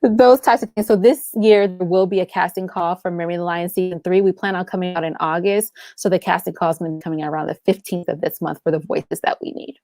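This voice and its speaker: A woman's voice: lowish voice, steady tone, fast, few pauses, a little mellow, dry recording. A woman guest on a podcast who produces audio dramas, talking casually and upbeat, laughing easily.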